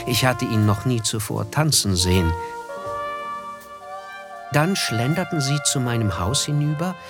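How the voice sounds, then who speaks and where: A man acting as the thoughtful narrator of a literary radio drama. His delivery is measured and observant, at a middling pitch, as he recalls life among the rich. Faint music plays behind him. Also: close to an everyday voice.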